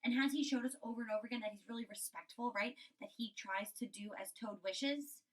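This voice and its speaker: A woman's voice: on the high side, brisk, recorded from a distance, low-quality recording. A woman teacher giving a reading lesson for young children, explaining things and often checking with a questioning tag. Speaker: elementary school teacher.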